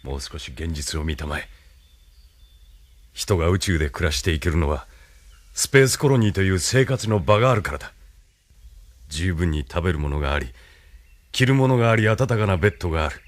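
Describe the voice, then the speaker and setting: An actor playing a calm, imperious military leader in an audio drama, with a measured, condescending, lecturing manner. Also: male voice, low voice, dry recording. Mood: lecturing, assured. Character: calm, imperious male military leader, idealist turned cynical schemer. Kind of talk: anime-style audio drama acting.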